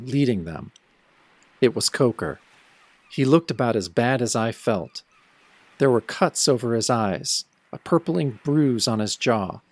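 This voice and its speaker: A wry, world-weary man played by a male actor tells his own werewolf tale in the manner of an old radio horror show. His delivery is dramatic and brooding, with a sardonic edge, at a middling male pitch. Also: dry recording. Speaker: first-person narrator of an audio drama.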